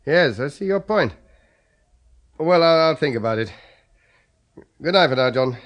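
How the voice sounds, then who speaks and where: A man's voice: fairly high pitch, lively intonation, unhurried. An actor playing a hesitant, musing husband who ponders his own death in a 1970s radio drama.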